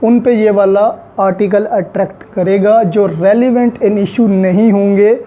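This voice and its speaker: A man with a Pakistani accent giving an explanatory law lecture, deliberately repeating and stressing key points and mixing in English legal terms. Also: sits high, steady tone, warm tone, a little hiss or hum.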